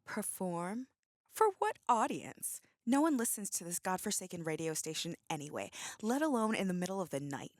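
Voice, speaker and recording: A woman's voice: lowish voice, very animated, moderate pace, dry recording, studio quality. A woman playing a snide, world-weary radio co-host in an audio drama, sarcastic and exasperated. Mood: scornful, sarcastic.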